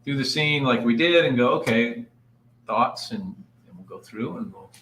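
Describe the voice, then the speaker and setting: A man's voice: mid-range voice, lively intonation, normal speed, fairly bright tone. A man who writes and narrates, casually discussing notes at a table read. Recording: roomy echo, noticeable background noise.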